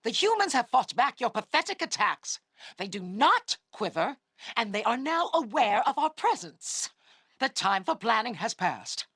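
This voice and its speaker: A haughty female commander of a monster army in a fantasy game. She is husky and very dynamic in pitch, issuing threats with open contempt in a dry, close recording. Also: very animated, a little slow, a bit bright, no echo, exaggerated voice. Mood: angry, commanding. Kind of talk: scripted fantasy video game acting.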